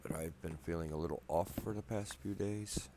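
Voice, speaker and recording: A man quietly rambling through casual gameplay commentary in his apartment at night, a bit wheezy from a cold, soft-spoken and self-deprecating. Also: low voice, steady tone, brisk, noisy background. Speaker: gameplay video maker. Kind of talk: casual let's play commentary.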